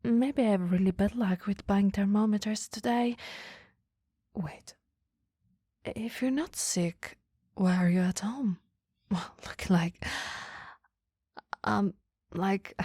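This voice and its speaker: A woman playing a caring, flirty, easily flustered boss in a soft, intimate ASMR roleplay, often whispering, gently teasing and a little hesitant. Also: medium pitch, no echo, studio quality. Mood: flustered, playful. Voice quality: breathy, soft. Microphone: close microphone, dry.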